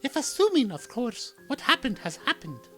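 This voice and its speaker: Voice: mid-range voice, big pitch swings, moderate pace, pushed and bright, soft background music, voice pushed up. A reader voicing a young noblewoman princess who speaks formally and emotionally. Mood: sorrowful.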